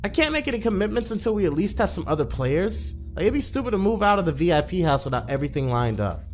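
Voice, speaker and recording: A man's voice: high voice, big pitch swings, quick pace, faint music behind. A man voicing a blunt, demanding young female pro gamer in a visual novel, with a bossy, no-nonsense manner. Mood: earnest.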